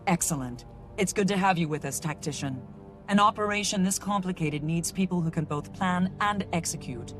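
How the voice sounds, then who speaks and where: A woman's voice: on the low side, close to an everyday voice. Confident heroic commander played by a woman. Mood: assured. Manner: assured, measured. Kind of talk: video game cutscene acting. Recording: loud game music behind.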